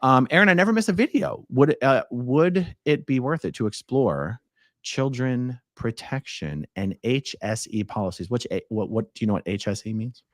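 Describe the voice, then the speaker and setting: A man's voice: mid-range voice, animated, moderate pace, no room sound, studio quality. A man hosting a live stream, reading viewer messages and commenting in a casual, blunt, conversational way.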